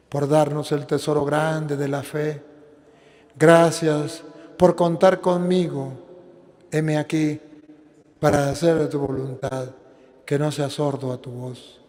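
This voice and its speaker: Venezuelan priest preaching in a reverberant hall. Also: male voice, a little high, steady tone, a little mellow. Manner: preaching with slow, emphatic stresses, then plain appeal. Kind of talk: sermon and prayer.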